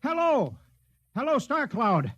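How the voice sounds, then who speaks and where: An actor playing an anxious, eager sergeant radio operator in a 1950s radio drama. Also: male voice, extremely high pitch, huge pitch swings, slow pace, a bit bright, heavily acted. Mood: alarmed. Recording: dull, faintly noisy vintage radio recording.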